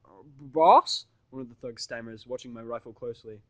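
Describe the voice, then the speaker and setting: Male amateur-fiction narrator, middle pitch, dry recording, dramatic first-person storytelling. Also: level tone, quick pace, no echo.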